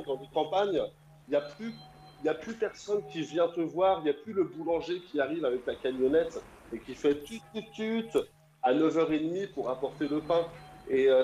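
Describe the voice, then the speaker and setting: A man's voice: medium pitch, mellow. A man in his thirties calling in to a radio show, talking in an enthusiastic, rambling way with many fillers. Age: 30s. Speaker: caller. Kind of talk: casual radio call-in chat. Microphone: call over the internet. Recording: roomy echo, faint music behind.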